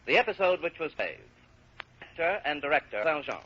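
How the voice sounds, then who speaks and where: A man's voice: fairly high pitch, sing-song, brisk, a bit bright, slightly rough. A man announcing on the radio in scripted 1930s broadcast style. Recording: muffled, hissy old radio broadcast.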